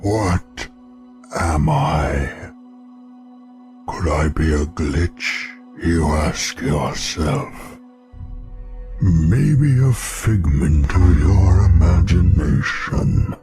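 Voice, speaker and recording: An actor playing a sinister, taunting ancient shadow monster in a brooding, theatrical manner. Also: male voice, low voice, huge pitch swings, drawn-out words, warm tone, soft background music, heavily acted. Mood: menacing, taunting.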